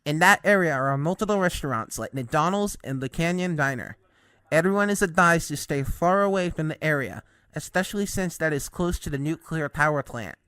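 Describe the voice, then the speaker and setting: A man's voice: fairly high pitch, fairly quick, fairly bright tone, clean tone, dry recording, clean studio sound, close to an everyday voice. A man playing a morning TV news anchor in an amateur drama, with a formal announcer-like delivery. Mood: serious. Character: male morning TV news anchor breaking grave news.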